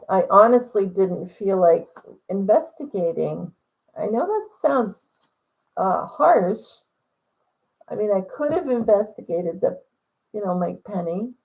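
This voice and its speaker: A woman with a quiet, mellow voice chats slowly on her livestream, circling back with lots of fillers and restarts. There's some gravel in her tone as she answers questions from viewers. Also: mid-range voice, rough recording. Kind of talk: casual livestream chat. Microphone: phone.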